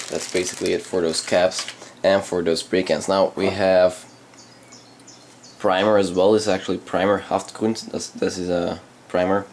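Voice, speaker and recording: Dutch native speaker, male, very low voice, halting hobbyist unboxing talk. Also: gravelly, darkish tone, slight background noise. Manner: halting, many ums and uhs. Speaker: channel host reviewing PC parts. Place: indoors at a desk, hands on camera.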